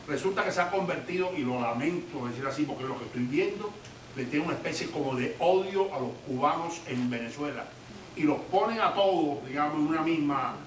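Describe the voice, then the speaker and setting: A man's voice: fairly high pitch, fairly bright tone, a little hiss or hum. Guy in the audience, far from the mic, echoey room, lots of "digamos" style fillers. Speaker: audience member asking a question. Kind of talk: question from the audience.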